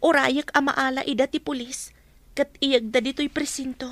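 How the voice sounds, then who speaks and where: A woman's voice: high voice, fairly even intonation, moderate pace, fairly bright tone, no room sound. An actress playing a wronged kidnap victim in a radio drama, firm and aggrieved as she seeks justice. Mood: aggrieved, resolute. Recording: faint noisy ambience behind.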